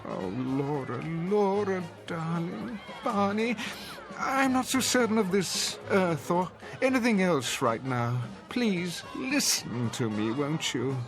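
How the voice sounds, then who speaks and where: Pleading, bewildered older husband. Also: male voice, sits high, animated, takes it slowly. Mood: urgent. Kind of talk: audio drama acting. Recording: music behind the voice.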